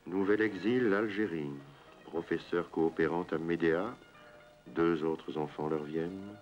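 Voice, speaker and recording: A man with an extremely low, booming voice narrates a documentary in short, clipped phrases. The sound is dull and muffled, like an old television broadcast. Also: measured pace, soft background music.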